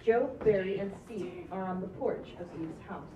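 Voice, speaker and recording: A woman's voice: medium pitch, normal speed, background noise. A woman reading the stage directions of a script at a table read in a matter-of-fact way. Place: small stage with green backdrop. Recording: distant, roomy, dull audio.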